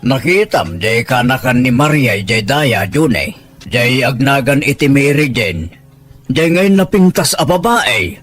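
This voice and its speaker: A man's voice: mid-range voice, big pitch swings, unhurried, fairly bright tone, faint music behind. A man with a Filipino accent playing an admiring fellow chatting about women in a radio-style drama. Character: admiring man gossiping about a pretty woman.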